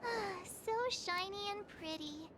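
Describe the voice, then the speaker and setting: A woman playing a bubbly, curious and naive teenage girl in an anime-style video game, expressive and girlish. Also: very high-pitched, slow pace, noisy background. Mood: delighted.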